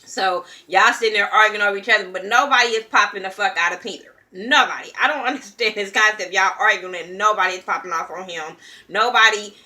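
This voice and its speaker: A young woman from California talks straight to her viewers in a blunt, heated rant full of cursing. Her voice has a bright, sharp edge and sits at an ordinary middle pitch for a woman. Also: fairly quick. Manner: blunt, profane, heated ranting. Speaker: reality TV reviewer. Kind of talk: casual opinion commentary.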